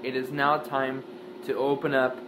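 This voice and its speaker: A man's voice: medium pitch, little pitch movement, moderate pace, background noise. A young man hosting a joking cooking tutorial in his home kitchen, talking offhand to the camera while he works. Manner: playful, offhand, talks to camera while working. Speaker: home cook host. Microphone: camera mic, wide-angle camera on counter.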